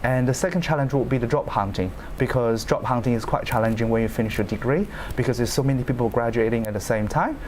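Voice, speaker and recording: A man's voice: mid-range voice, fast talker, few pauses, slight background noise. Earnest young man from China. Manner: earnest, steady, with small grammar slips. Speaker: law student panelist. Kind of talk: panel discussion answers.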